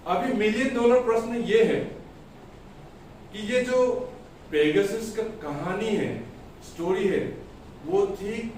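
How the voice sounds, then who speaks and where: A man delivers a political press statement as a party spokesperson, emphatically and deliberately in short phrases. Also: high-pitched, darkish tone. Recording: echoey room, distant mic, faint noise.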